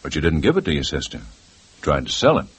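An actor playing a calm, probing male police lieutenant in a 1950s radio drama, questioning steadily. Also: a bass voice, fairly quick, breathy edge, exaggerated voice. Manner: steady, patient questioning with lively swings. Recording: old radio broadcast with faint hiss.

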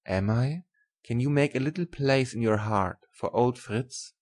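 A woman's voice: a bass voice, measured pace, darkish tone, pitched below the performer's own voice. A woman voicing a tender, earnest older German professor in love, with formal, emphatic speech in an audiobook. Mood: joyful, earnest. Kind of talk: audiobook character voice.

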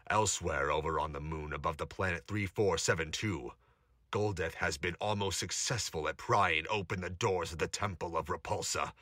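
A man's voice: sits low, normal speed, bright, forceful tone, no echo, clean studio sound. A man narrating a scripted audio drama in a dramatic storytelling style. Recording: noisy home recording with clicks.

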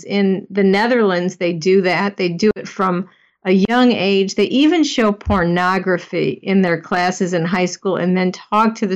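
Earnest woman, slightly muffled sound. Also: fairly high pitch. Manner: earnest, emphatic, with frequent you-knows. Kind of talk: conversational podcast chat.

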